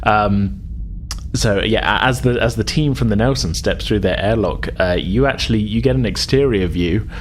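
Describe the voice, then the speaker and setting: A man's voice: on the low side, moderate pace, slight background noise. A man narrating casually as game master, informal and explanatory, feeling his way through sentences. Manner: hesitant, informal, explanatory, chuckling asides. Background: background music.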